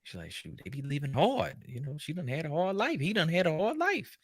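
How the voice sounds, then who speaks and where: A man hosting a show, giving casual, unscripted commentary in an animated, joking, colloquial way. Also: a little high, a little fast, slightly rough, dry recording, studio quality. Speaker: host reacting to a documentary.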